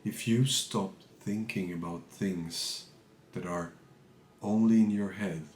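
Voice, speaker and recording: A man with a low voice leads a guided meditation in a calm, measured manner. He speaks very slowly, leaving pauses between short phrases. The sound is noticeably roomy. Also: a little hiss or hum. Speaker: meditation teacher. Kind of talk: guided meditation, unscripted spiritual talk.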